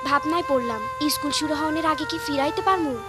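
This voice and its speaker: A woman voicing an earnest, gentle young village girl telling her story in a cartoon, with a rural Bangladeshi accent. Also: sits high, fast, over music, natural voice. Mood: storytelling.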